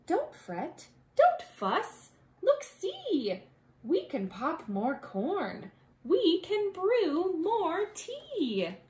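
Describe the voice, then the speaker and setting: A woman voicing a tiny, timid storybook mouse with a squeaky voice and short fussy phrases. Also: huge pitch swings, drawn-out words, darkish tone, echoey room, heavily acted. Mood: soothing.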